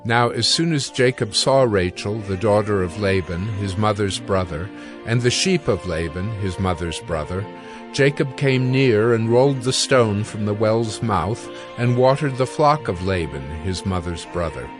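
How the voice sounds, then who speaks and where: Male scripture narrator over faint music. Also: lowish voice. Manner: measured, formal.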